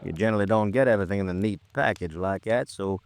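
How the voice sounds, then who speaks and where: An American man playing a blunt, folksy police lieutenant who lectures gruffly, in old-time radio drama style. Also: fairly low pitch, quick pace, close to an everyday voice. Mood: smug, magnanimous. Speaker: supporting actor.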